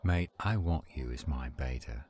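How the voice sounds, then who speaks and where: A man acting an earnest young werewolf pack leader in a roleplay audio drama, speaking in a measured way. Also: extremely low pitch, dark tone. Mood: friendly, grateful.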